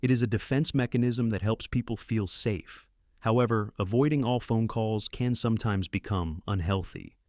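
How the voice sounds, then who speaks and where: Male narrator, lower-pitched, scripted explainer, measured delivery, dry studio-like sound. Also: a little mellow, no echo.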